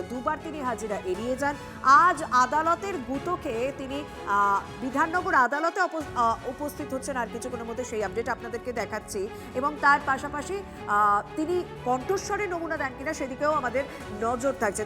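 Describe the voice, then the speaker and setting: A woman news anchor with a West Bengal accent, presenting live breaking news in a halting, improvised delivery. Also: high voice. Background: news music bed.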